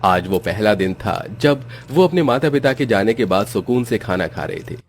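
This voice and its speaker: A man's voice: lowish voice, animated, moderate pace, a little hiss or hum. A man giving scripted narration as the storyteller of a Hindi cartoon tale.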